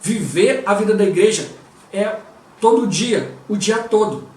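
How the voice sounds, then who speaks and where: A Brazilian man preaches in a relaxed, conversational way, sprinkling his talk with quick prayers. His voice sits high for a man. He sounds set back from the mic in a reverberant room. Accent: Brazilian Portuguese. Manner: conversational, constantly interjects "O Lord Jesus" and "né". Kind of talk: devotional preaching. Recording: roomy and distant from the mic.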